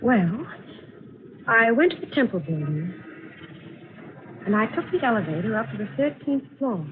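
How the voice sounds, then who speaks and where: A woman's voice: mid-range voice, very animated, normal speed, slight background noise, natural voice. An actress playing a polite, hesitant young woman working as an office clerk, in old-time American radio drama style. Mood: uneasy. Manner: deferential, hesitant. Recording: muffled, tinny old radio recording.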